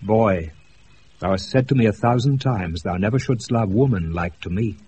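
Casting: male actor for romantic British nobleman in radio Shakespeare, deep and measured, slightly hissy off-air sound. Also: mellow, close to an everyday voice. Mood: stately, tender. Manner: measured, lyrical. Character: noble, romantic duke, stately and gracious. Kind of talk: 1980s radio Shakespeare acting. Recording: noisy off-air radio recording.

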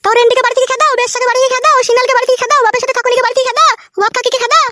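Shrill, furious cartoon village wife. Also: female voice, fairly even intonation, racing, noisy background. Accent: rural West Bengal. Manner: nagging, cursing, wailing rants. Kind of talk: comedy cartoon dub, melodramatic quarrelling.

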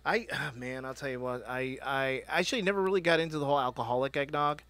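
A man's voice: medium pitch, fast, fairly bright tone, clear, resonant tone, dry recording. A man co-hosting a live stream in a casual, rambling way, with many fillers. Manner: rambling with fillers, laughs often. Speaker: stream co-host.

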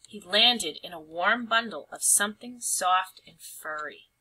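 A woman's voice: medium pitch, expressive, measured pace, bright, forceful tone, breathy edge, low-quality recording. A woman reading a picture book aloud as a storyteller, with dramatic, emphatic phrasing.

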